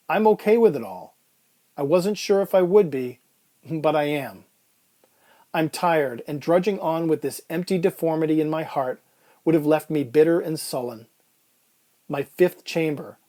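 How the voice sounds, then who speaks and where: A man's voice: on the high side, mellow, close to an everyday voice. A man playing a weary, resigned dying man in a reflective radio-drama monologue. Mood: resigned acceptance. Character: weary, resigned dying man telling his strange tale. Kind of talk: first-person dramatic monologue in old-time radio style.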